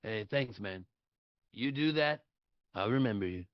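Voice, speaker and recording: A man's voice: on the low side, lively intonation, measured pace, no room sound, lo-fi sound. A man at a live table read playing a self-important, blustering politician who is brusque, boastful and profane. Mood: smug, boastful.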